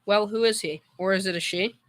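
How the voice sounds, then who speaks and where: A woman's voice: medium pitch, steady tone, a little fast, lightly acted. A female performer playing a cocky, boastful tough-guy boyfriend, slangy and swaggering, in old radio comedy style. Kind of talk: 1940s radio comedy acting.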